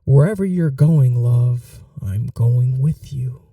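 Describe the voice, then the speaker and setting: An obsessive male vampire , voiced by a man, whispers with a dark, gravelly tone and slow, lilting delivery. He turns from menace to desperate pleading in an intimate roleplay. The sound is dry and a little distant from the mic. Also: medium pitch, no room sound. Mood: possessive. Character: obsessive yandere male vampire, grieving and possessive. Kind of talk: ASMR audio roleplay.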